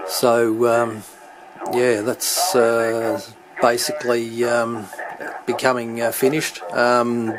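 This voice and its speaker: A middle-aged man giving an unscripted hobby demonstration, hesitant and halting as he searches for words. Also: medium pitch. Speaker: CB radio hobbyist demonstrating his equipment. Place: indoors at home beside radio equipment. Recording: clearly noisy home recording with radio static.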